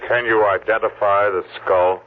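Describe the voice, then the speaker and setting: An actor playing a menacing, vengeful masked survivor who taunts deliberately, in 1940s radio thriller style. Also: male voice, lowish voice, fairly even intonation, phone-quality sound, close to an everyday voice. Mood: taunting. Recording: noisy, thin old-time radio recording.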